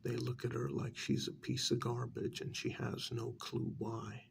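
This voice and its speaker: Man playing a slow, gravelly, soft-spoken vampire mediator. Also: mid-range voice, level tone, slight background noise. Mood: exasperated, chiding. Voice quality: breathy, half-whispered, gravelly. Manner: measured, halting, chiding. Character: calm, reproachful male vampire mediator addressing a prisoner. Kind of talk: soft-spoken ASMR role-play.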